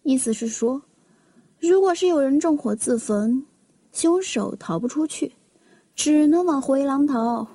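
A woman's voice: high voice, lively intonation, measured pace, dark tone, dry recording. A woman acting a confident young niece in a mystery radio drama, explaining things in a pointed way. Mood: knowing, corrective. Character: young niece, confidently correcting her uncle. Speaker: voice actor in an amateur audio drama.

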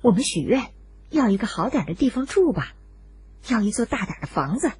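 Woman as an eager, husky fisherman's wife. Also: on the high side, very animated, darkish tone, no room sound, exaggerated voice. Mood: eager, impatient. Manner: eager, hurried urging.

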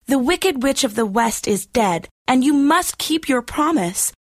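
An earnest, outspoken storybook girl, voiced by a man. The voice is very high and lively, recorded dry and close. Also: measured pace, dry recording, full character voice. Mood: insistent.

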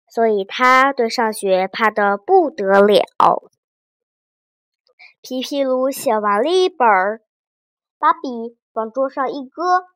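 A woman's voice: a little high, no echo. A woman narrating a children's fairy tale expressively, engaging young listeners as she goes. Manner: animated, addresses young listeners with questions. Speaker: children's storyteller.

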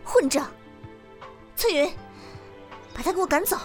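A woman's voice: very high voice, expressive, unhurried, soft background music. A woman acting a stern, jealous young wife who runs an old Chinese household, speaking in a haughty, accusing way in an audio drama. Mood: angry, indignant.

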